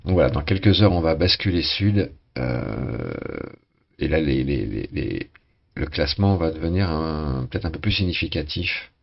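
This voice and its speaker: A hoarse man casually commenting on a sailing race game, talking with many hesitations and restarts. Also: a bass voice, animated, normal speed, muffled recording.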